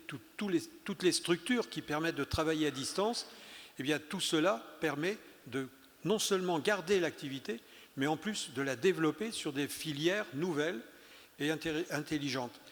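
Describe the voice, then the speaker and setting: A man giving a round-table speech as an elected leader, emphatic, with many restarts and hesitations. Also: fairly high pitch. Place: conference hall. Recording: long hall reverb.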